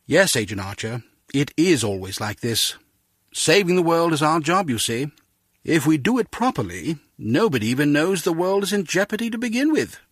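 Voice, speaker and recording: Husky, courteous older spymaster. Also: male voice, a little high, very animated, normal speed, fairly bright tone, no echo, studio quality. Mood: reflective. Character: avuncular older British spymaster, courteous, measured, wry. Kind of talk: video game voice acting.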